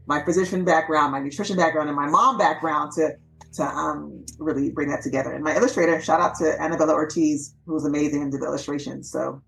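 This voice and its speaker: A woman's voice: sits low, gravelly, slight background noise, poor audio. A woman from Los Angeles, a physician and author, chatting upbeat in an interview. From Los Angeles, USA. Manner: quick, animated, upbeat and chatty. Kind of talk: casual interview chat.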